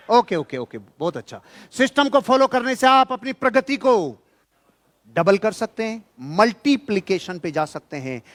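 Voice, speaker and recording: A man speaking as a motivational speaker at a seminar, emphatic and colloquial, asking rhetorical questions and answering them punchily. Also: sits high. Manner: colloquial, jokey asides to listeners. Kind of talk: motivational seminar talk to a live audience.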